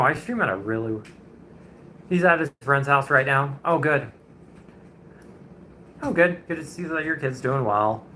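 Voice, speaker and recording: Bright-voiced young man, rambling and chatty. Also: medium pitch, a little hiss or hum. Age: 20s. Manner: rambling, chatty, greets viewers, and chuckles often. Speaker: streamer. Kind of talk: casual chat on a painting livestream.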